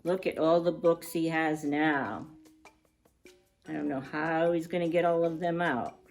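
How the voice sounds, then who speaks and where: A woman reading a picture book aloud to young children in an expressive storytime style, drawing out words for emphasis and adding chatty asides. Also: sits low, unhurried, faint music behind.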